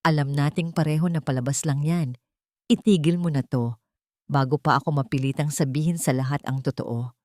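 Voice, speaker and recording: A woman's voice: sits low, fairly even intonation, fairly quick, dark tone, dry recording, close to an everyday voice. A woman storyteller voicing a threatening, mocking, jealous young man in a romance drama. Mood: taunting threat. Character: menacing, jealous, taunting young male villain.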